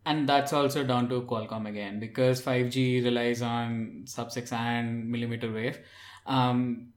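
A man's voice: mid-range voice, echoey room. A man in a casual tech discussion, talking in an explanatory manner as a podcast panelist.

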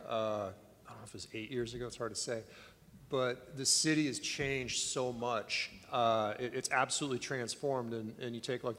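Director on a panel, halting and thoughtful, echoey convention hall. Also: male voice, medium pitch, a bit bright. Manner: thoughtful, halting, many fillers. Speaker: film director. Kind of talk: press conference answer. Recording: reverberant large hall.

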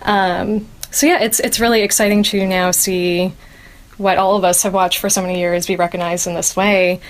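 A woman's voice: fairly low pitch, level tone, normal speed. A young woman hosting a podcast interview, speaking enthusiastically and laughing often. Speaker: interviewer host.

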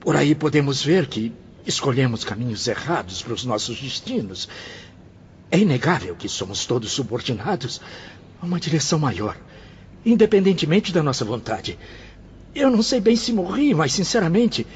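A Brazilian actor playing a humbled former bohemian, earnest and formal, in a declamatory radio drama style. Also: male voice, medium pitch, big pitch swings, normal speed. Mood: earnest, resigned. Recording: dull radio recording with faint noise.

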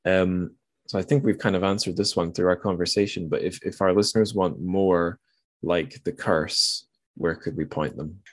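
A man's voice: low-pitched, steady tone, moderate pace. A man hosting a podcast in a casual, conversational style, informally thinking aloud as he goes. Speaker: podcast host.

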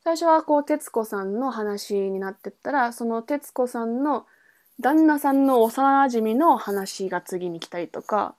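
A woman's voice: a little high, animated, measured pace, darkish tone. A woman podcast host talking casually and informally, thinking aloud and correcting herself as she explains things. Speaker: podcast host introducing a book. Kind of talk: casual chat explaining a novel.

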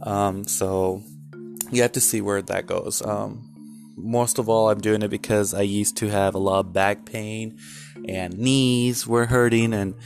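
Young man, 20s, lowish voice, candid rambling vlog talk, faint music behind. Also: fairly even intonation, measured pace, creaky. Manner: rambling and candid, with many fillers and restarts. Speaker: vlogger. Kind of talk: casual personal vlog.

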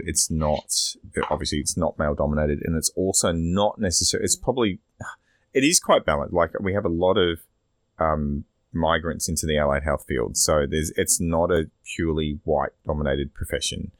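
Australian male podcast host, extremely low voice, casual rambling delivery, dry close sound. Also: normal speed, dry recording. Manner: rambling, sweary. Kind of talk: casual opinionated discussion.

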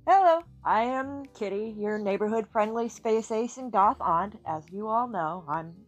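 Thirties woman, co-host, friendly and joking, medium pitch, soft music underneath. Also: animated, moderate pace. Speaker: podcast co-host. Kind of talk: casual chat.

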